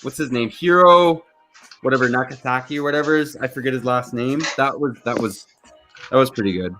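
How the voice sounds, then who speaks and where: A young man who grew up in Mexico, hosting a casual livestream chat in a rambling, easily excited way over a video call. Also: mid-range voice, fairly quick, low-quality recording. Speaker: livestream host. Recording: loud background music under voice.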